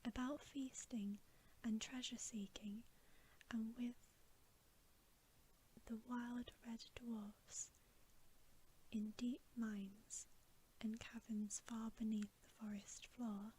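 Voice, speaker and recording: A woman reading a bedtime story aloud as ASMR in a soft, breathy, unhurried whisper, close to the microphone. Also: fairly high pitch, flat delivery, a little hiss or hum, low-quality recording.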